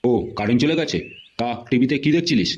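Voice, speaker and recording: A man voicing a stern, short-tempered middle-aged father in a comedy cartoon, gruff and demanding. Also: medium pitch, lively intonation, fast talker, dull audio, noisy background, close to an everyday voice. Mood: suspicious.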